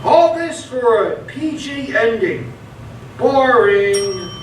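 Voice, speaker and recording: A very high, animated young male internet commenter acted by a man, callous and hungry for thrills. The drawn-out delivery echoes heavily in this radio drama. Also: full character voice. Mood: flippant, excited. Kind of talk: live radio theatre acting. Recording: very echoey stage, far mic, faint noise.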